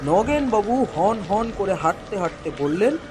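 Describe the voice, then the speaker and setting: A storyteller reading a literary horror story in an expressive, dramatic and wry narrating voice. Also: mid-range voice, normal speed, background noise. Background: background music.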